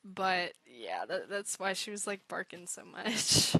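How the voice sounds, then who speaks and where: A woman's voice: lowish voice, very animated, takes it slowly, creaky, bright, forceful tone, no room sound. A young woman streamer chatting casually to her viewers while playing a game, giggly and a bit self-conscious. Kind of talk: casual live gameplay chat.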